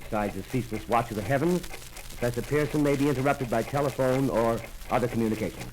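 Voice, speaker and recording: An actor playing a polite, brisk radio field reporter describing a scene live in a 1930s radio drama. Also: male voice, mid-range voice, a little mellow, slight background noise, close to an everyday voice, low-quality recording. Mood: earnest.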